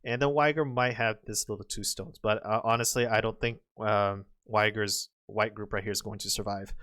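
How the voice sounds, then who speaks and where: A slightly hoarse man commentating on a go match, exclaiming and trailing off as the game turns. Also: on the low side, moderate pace. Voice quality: excitable, exclamatory, trails off and restarts. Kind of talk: excitable live game commentary.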